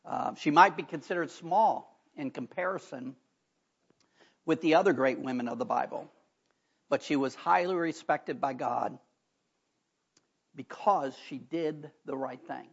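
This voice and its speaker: A hoarse man preaches a sermon in a church, his voice gravelly and rough. He talks in a folksy way and breaks off for chatty asides. The recording is dull and lo-fi. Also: on the high side, measured pace, long pauses. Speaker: pastor. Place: church sanctuary.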